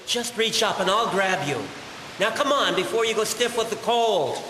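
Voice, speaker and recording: A male actor plays a kindly passer-by turned rescuer in an audio drama, urgent yet reassuring. His voice is high for a man, with long reverb and clear hiss around it. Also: lively intonation, normal speed.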